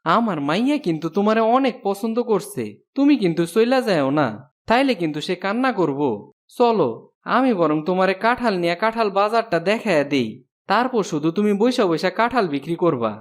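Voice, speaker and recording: A man's voice: high-pitched, lively intonation, fairly quick. A man voicing a kind, helpful village woodcutter in a cartoon, earnest and folksy, with a rural Bangladeshi accent. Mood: kindly. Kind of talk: cartoon storytelling dub. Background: prominent music.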